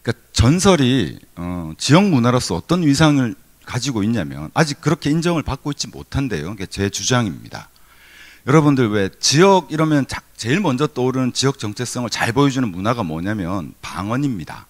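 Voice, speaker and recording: A man's voice: mid-range voice, sing-song, fairly quick. A middle-aged man from Korea's Gyeongsang region giving a keynote lecture at a seminar, conversational, with many fillers and restarts. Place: conference hall.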